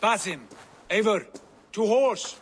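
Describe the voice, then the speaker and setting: Grandiose, high-voiced Viking prince. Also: male voice, takes it slowly, slight background noise. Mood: commanding. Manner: grandiose, poetic, dramatic phrasing. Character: fervent Viking warrior prince, fired up by prophecy. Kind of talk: cinematic video game acting. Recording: game audio with horses and outdoor ambience.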